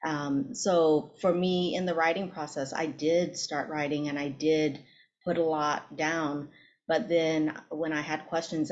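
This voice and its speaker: Woman, low voice, measured, steady, author guest, dull lo-fi recording. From Vietnamese-American. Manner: measured, steady, some ums. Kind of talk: panel discussion.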